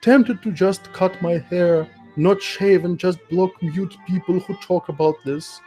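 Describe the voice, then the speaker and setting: High-pitched man reading theatrically over music. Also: unhurried, mellow. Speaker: streamer reading a social media thread aloud. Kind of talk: mock-dramatic reading with amused asides. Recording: music playing behind the voice. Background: background music.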